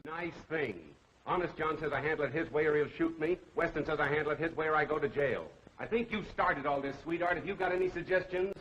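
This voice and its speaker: An actor playing a suave, glib, unflappable radio detective in an old crime film. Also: male voice, a little high, fast talker, a bit bright, slight background noise, natural voice. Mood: exasperated. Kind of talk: 1930s film acting. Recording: muffled old film soundtrack.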